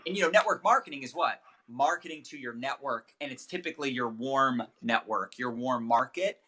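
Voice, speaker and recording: A man's voice: mid-range voice, animated, fairly quick, sharp tone. A man giving chatty, upbeat coaching tips as the guest of a casual livestream interview, with many fillers. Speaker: network marketing trainer, guest.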